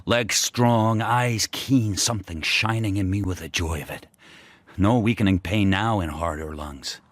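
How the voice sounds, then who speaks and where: A man's voice: on the low side, dry recording. An actor playing a proud, fierce sled dog who tells his own hard life in solemn, intense radio drama monologue. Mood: exultant, fierce. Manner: solemn, deliberate, intense, short poetic phrases.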